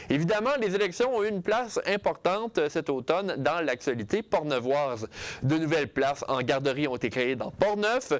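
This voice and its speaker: A man hosting a regional news review, reading a script at a measured pace with the odd filler. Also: fairly high pitch. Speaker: news host.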